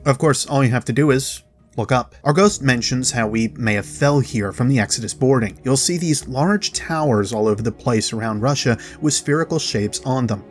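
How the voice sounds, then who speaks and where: A man hosting a game lore video, delivering narrated commentary directly to viewers with dramatic pauses. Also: medium pitch. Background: background music.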